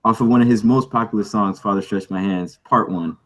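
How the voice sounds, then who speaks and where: A man with a husky voice chatting casually and jokingly as a podcast host. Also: on the low side, brisk. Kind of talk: casual comedic group chat.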